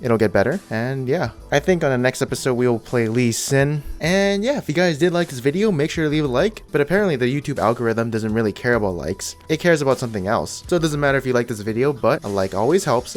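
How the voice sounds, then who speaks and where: A young gaming creator with a mid-pitched voice that sounds a little worn down by a cold. He rambles at an easy pace, openly grumbling about his own lazy play, while quiet music runs under his commentary. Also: animated, fast, few pauses. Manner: laid-back, self-critical, rambling. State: coming down with a cold. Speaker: gaming YouTuber. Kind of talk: casual gameplay commentary voice-over.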